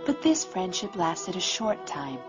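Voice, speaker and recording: A woman's voice: on the low side, big pitch swings, a little slow. A woman reading scripted narration in a storybook biography style. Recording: dull sound, loud music behind.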